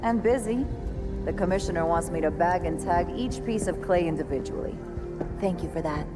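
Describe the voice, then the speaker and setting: A woman acts a world-weary female police detective in a game. Her voice sits a little low and her delivery is dry and sarcastic. Loud music plays behind her. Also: normal speed, close to an everyday voice. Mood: dry, sardonic. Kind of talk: video game cutscene acting.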